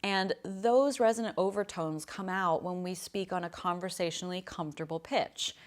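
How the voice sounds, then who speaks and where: A woman voice coach talks to camera in an easy, friendly lesson. Her voice sits a little on the low side, and she explains things plainly and thoughtfully. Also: moderate pace.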